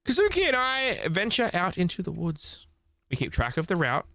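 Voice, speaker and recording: A man's voice: high voice, huge pitch swings, moderate pace, sharp tone. A man reading a visual novel aloud as a streamer, in dramatic narration. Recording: music under the voice. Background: prominent music.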